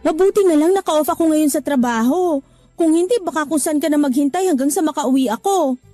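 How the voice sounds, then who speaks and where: A woman's voice: sits high, fairly quick, mellow, faint music behind, lightly acted. An actress playing a practical young woman in a Tagalog radio drama, teasing her partner and then scolding him for quitting his job. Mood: affectionate. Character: young woman working abroad, practical, a little irritated.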